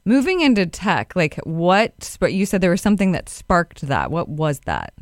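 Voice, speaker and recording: A woman's voice: lowish voice, wildly swooping pitch, normal speed, no echo, studio quality. A woman co-hosting a podcast, making teasing jokes and asking the guest questions. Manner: playful teasing remarks. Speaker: podcast co-host. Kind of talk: casual interview.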